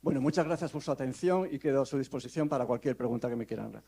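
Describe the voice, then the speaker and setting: Man, academic panelist, mellow darker tone, halting delivery full of fillers. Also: mid-range voice, gravelly, a little hiss or hum. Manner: hesitant, many fillers and restarts. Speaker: law professor giving a panel talk. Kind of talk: academic conference presentation. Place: conference hall.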